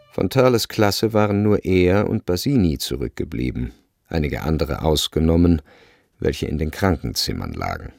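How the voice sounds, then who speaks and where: A man narrating a literary radio drama from a script, measured and precise in his storytelling. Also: low voice, creaky, darkish tone.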